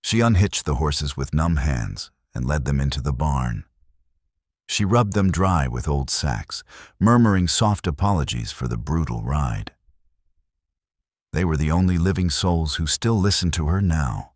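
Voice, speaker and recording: A man narrating a scripted western story in a solemn, suspenseful, dramatic way with deliberate phrasing. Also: extremely low pitch, animated, dry recording, studio quality.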